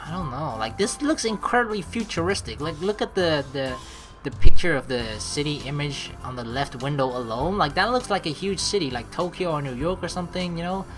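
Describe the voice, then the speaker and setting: A woman's voice: deep voice, animated, brisk. A woman hosting a let's play, joking and exclaiming as she comments and reads game text aloud. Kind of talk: gameplay commentary mixed with reading game narration aloud. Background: game music.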